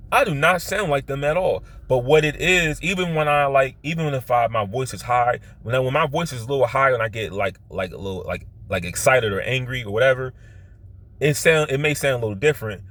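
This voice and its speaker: A man with a Philadelphia accent and heavily stressed O's, telling personal stories in a rambling, halting way that often circles back and repeats. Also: mid-range voice, fairly bright tone. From Philadelphia, United States. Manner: stresses his O vowels; halting, repetitive, circles back to his point. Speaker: vlogger.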